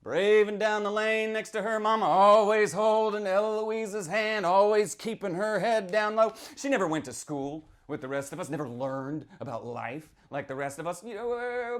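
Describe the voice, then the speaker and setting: Man acting haunted folksy small-town storyteller, theatrical and halting. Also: sits high. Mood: fervent, conspiratorial. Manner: folksy, halting, rhetorical asides, swings between hush and outburst. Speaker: author reading from his novel. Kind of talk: theatrical dramatic reading, first-person monologue.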